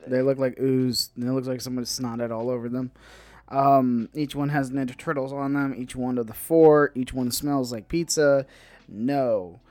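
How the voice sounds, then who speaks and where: A man co-hosting a podcast in casual nerdy banter, rambling haltingly and often repeating himself. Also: mid-range voice, steady tone, darkish tone, dry recording. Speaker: podcast co-host. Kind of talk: casual nerd-news banter.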